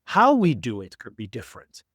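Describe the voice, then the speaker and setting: A man's voice: medium pitch, huge pitch swings, normal speed, a bit bright, slightly rough, no echo, studio quality. A man speaking as a guest on a podcast, earnest and persuasive, often repeating phrases as he builds a point. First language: not English. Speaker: guest author. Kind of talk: podcast conversation.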